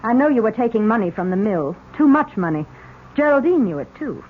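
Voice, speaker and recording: Woman acting a needling, animated, jealous wife. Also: on the high side, a little fast, natural voice. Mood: bitter, sarcastic. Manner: sarcastic, accusatory. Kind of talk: 1970s radio drama acting. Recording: muffled, hissy old radio broadcast.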